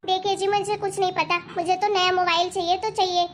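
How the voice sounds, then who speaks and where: Very high-pitched whiny cartoon wife acted by a woman. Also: level tone, a little fast, a little hiss or hum. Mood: demanding. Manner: whiny, pleading, insistent. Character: demanding, dramatic young spendthrift wife. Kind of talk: amateur Hindi cartoon story dialogue.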